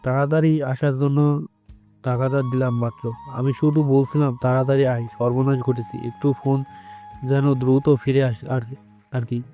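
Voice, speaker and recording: A man's voice: medium pitch, level tone, normal speed, dark tone, faint music behind, lightly acted. A man playing an anxious, apologetic man in an audio story. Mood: defensive, worried. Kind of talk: audio story character dialogue.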